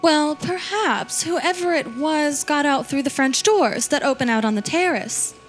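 A woman's voice: sits high, lively intonation, a little slow, faint music behind. A woman playing a genteel mother, the lady of the house, in a comedic radio murder mystery. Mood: composed. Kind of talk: comedic parody of classic radio play.

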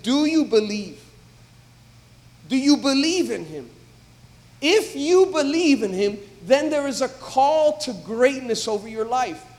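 A man, a pastor, preaching a sermon in a church, building from calm talk to emphatic, loud exhortation. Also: very high voice. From United States. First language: English. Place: church sanctuary. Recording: long reverb of a large hall.

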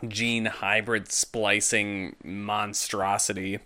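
A man's voice: fairly low pitch, flat delivery, slow pace, pushed and bright. A man from the United States co-hosting a podcast about comics, easygoing and agreeable, swearing casually. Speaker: podcast co-host. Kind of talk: casual chat.

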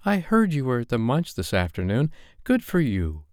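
A man's voice: mid-range voice, wildly swooping pitch, normal speed, darkish tone, dry recording, studio quality, voice pushed up. A man voicing a bubbly, affectionate, outspoken woman friend in an audiobook. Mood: warm, excited.

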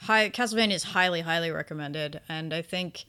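A woman's voice: lowish voice, brisk, pushed and bright, smooth. A woman co-hosting a casual podcast discussion, effusive and emphatic, repeating words for stress. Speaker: podcast co-host reviewing anime.

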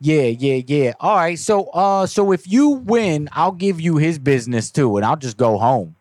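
A man plays along in a deadpan, joking way on a comedic prank phone call. His voice sits somewhat high, and the sound is dry and close. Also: unhurried, no room sound. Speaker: prank caller.

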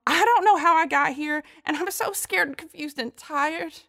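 A man's voice: very high voice, normal speed, vocal fry, pushed and bright, pitched above the performer's own voice, exaggerated voice. A man voicing a scared, confused, desperate girl in a told story. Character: frightened, confused, desperate young girl pleading with her aunt.

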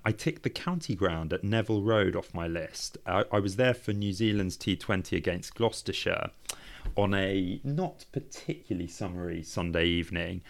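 A man's voice: low-pitched, gravelly. A man, co-hosting a cricket podcast in a conversational style.

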